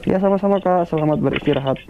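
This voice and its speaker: A man's voice: sits high, racing, gravelly, over music. A young man chatting casually and in slang as a motorcycle taxi driver, recorded on a helmet intercom. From Indonesia. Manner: chatty, slangy, polite with passenger. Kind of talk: casual motovlog chat. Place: outdoors, riding a motorcycle at night. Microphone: helmet intercom mic. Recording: muffled helmet intercom, loud road noise. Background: loud engine and traffic noise.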